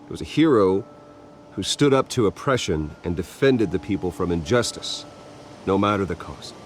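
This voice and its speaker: An American man playing a brooding billionaire heir in a video game, speaking to the press in a measured, halting, earnest way. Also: deep voice, animated, slight background noise. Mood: solemn. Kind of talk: video game dramatic acting.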